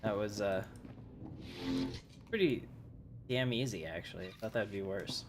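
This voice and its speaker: A man's voice: fairly low pitch, measured pace, very noisy. A man livestreaming a game, chatting casually and offhandedly while he plays.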